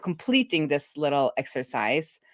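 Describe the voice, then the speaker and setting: A woman hosting a live coding stream, chatting informally and telling things in an animated, conversational way. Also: low voice, normal speed, no echo, studio quality. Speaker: livestream host and web developer.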